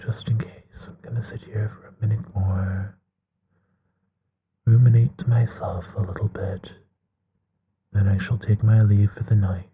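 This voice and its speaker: A genteel, bookish vampire, acted by a man, speaks in a low, very breathy hush that often drops to a whisper. His delivery is soft, mellow and slow, musing tenderly with long pauses, as an intimate ASMR bedtime roleplay. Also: level tone. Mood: tender, soothing. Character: courtly, bookish male vampire, gently affectionate.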